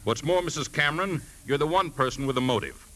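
An actor playing a blunt, probing police lieutenant in 1950s radio drama style, questioning briskly. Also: male voice, fairly high pitch, sharp tone. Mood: pressing, accusing. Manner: brisk, pressing, clipped questioning. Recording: noisy 1950s radio broadcast recording.

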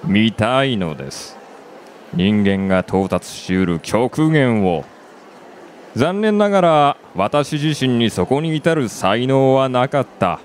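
An actor playing a calm, imperious company chairman in a radio drama, measured and polite but haughty. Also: mid-range voice, slight background noise. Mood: haughty composure. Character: calm, imperious male company chairman obsessed with human extremes. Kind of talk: Japanese radio drama acting.

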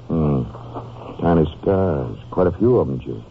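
An actor playing a sober, plain-spoken, folksy Texas sheriff in an old-time radio crime drama. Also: male voice, very deep voice, expressive, unhurried, vocal fry, full character voice. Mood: sombre. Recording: muffled, noisy vintage radio recording.

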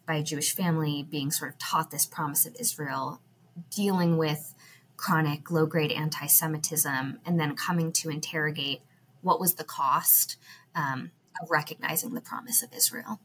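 A woman's voice: low voice, fairly even intonation, moderate pace, a bit bright, a little hiss or hum. A woman, speaking as a podcast guest, thoughtful, with frequent fillers. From United States. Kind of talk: podcast interview.

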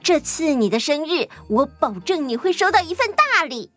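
Casting: woman as self-important, whiny best pal for kids' audio, high-pitched and exclamatory, music under it. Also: very animated, moderate pace, a bit bright. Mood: boastful, bubbly. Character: chatty, boastful, whiny fashion-designer friend Xiaoxi. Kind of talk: children's audio story acting.

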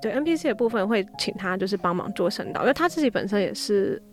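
A woman speaking as a guest in a casual podcast chat, telling stories in a giggly, animated way. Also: medium pitch, fast talker. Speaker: interview guest, audio drama app founder. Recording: music behind the voice.

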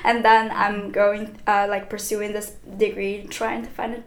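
A woman's voice: mid-range voice, flat delivery, a little slow, slight background noise. A woman in her twenties from China, a business student chatting as a podcast guest, talkative, laughing often and leaning on "like". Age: 20s. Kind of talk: casual interview chat. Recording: roomy echo.

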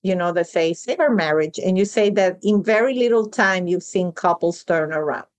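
A woman podcast host from Venezuela, speaking thoughtfully and haltingly as she works through her ideas. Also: lowish voice. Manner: thoughtful, halting, repeats words as she thinks. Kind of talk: podcast interview conversation.

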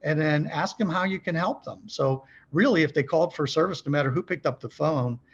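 Gravelly, husky man coaching conversationally. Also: a little high, a little fast, dull audio. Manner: emphatic, asks rhetorical check questions, acts out sample calls. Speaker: podcast guest, car dealership service trainer.

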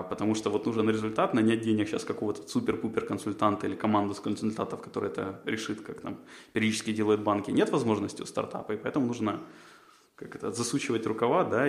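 A man's voice: on the low side, fairly even intonation, fast, gravelly, echoey room. A man, a podcast co-host, answering interview questions in a rambling way, with many fillers and restarts. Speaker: job candidate, podcast co-host.

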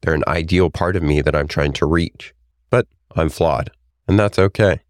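A man hosting a solo podcast, reading his own essay in an earnest, reflective way. His voice is raspy and hoarse as he gets over an illness. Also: rumbling low, normal speed, no echo, studio quality. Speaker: podcast host.

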